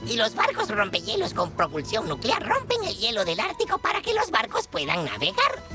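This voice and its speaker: A woman voicing a female fixie character explaining science to children with lively emphasis. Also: a little high, fairly quick. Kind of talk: educational cartoon explainer. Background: children's music.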